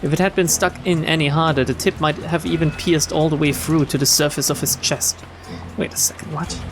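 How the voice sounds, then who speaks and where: A man's voice: on the high side, fairly even intonation, a little fast. A man streaming a visual novel, reading the text aloud and adding wry, casual asides. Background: game music.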